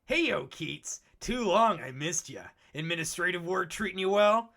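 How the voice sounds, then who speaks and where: A man voicing a cocky, swaggering rival team leader creature in an animated comic dub, brash and jeering in his delivery. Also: high voice, moderate pace, creaky, heavily acted. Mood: brash, jovial.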